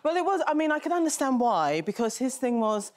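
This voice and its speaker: Earnest woman author, a bit high. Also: big pitch swings, fairly quick. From England. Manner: thoughtful, earnest, laughs easily. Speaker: author guest. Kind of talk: casual chat. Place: TV studio.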